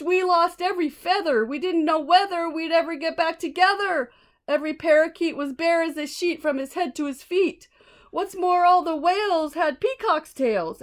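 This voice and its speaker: A woman's voice: very high voice, voice pushed up. A woman voicing flustered storybook birds, complaining in emphatic rhyme. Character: flustered storybook birds bemoaning lost feathers.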